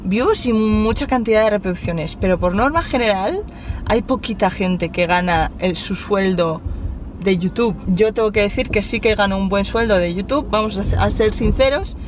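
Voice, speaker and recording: A woman's voice: mid-range voice, normal speed. A young woman in her twenties with a Spanish accent from Spain, chatting bluntly and with animation in a vlog filmed inside a car, using slangy asides. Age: 20s. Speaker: YouTuber. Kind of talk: casual vlog chat. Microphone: camera mounted on the dashboard. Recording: dull audio with loud car noise.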